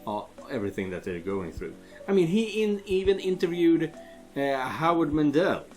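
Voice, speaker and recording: A man's voice: on the high side, sing-song, moderate pace, darkish tone, faint music behind. A man giving casual let's play commentary on a game, rambling and often searching for words. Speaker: video game let's player.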